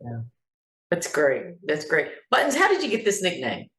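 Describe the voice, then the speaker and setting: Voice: medium pitch, wildly swooping pitch, normal speed, a bit bright. A panel moderator asking questions and giving short encouraging reactions. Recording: echoey room.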